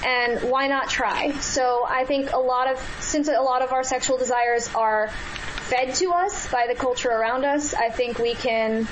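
A woman with a high-pitched voice, a radio interview guest who answers earnestly, with many fillers and restarts. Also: a little hiss or hum, poor audio.

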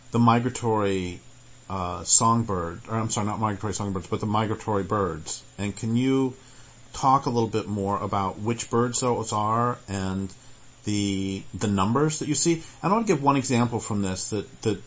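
A man's voice: fairly low pitch, a bit bright, poor audio. A man from Nebraska and Colorado asking interview questions, rambling and correcting himself with many fillers. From born in Nebraska, grew up in Colorado.

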